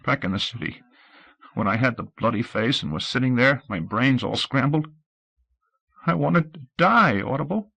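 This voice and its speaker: Brief: earnest teenage boy athlete, lively raised voice, read by a male narrator. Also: medium pitch, normal speed, vocal fry, breathy edge, voice pushed up, full character voice. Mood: grief-stricken.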